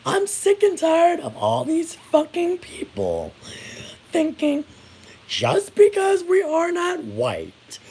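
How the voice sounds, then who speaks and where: Very high-pitched, animated American woman ranting. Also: slow, rough recording. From United States. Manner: blunt, indignant, swears freely. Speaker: vlogger. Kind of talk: casual opinion rant to viewers.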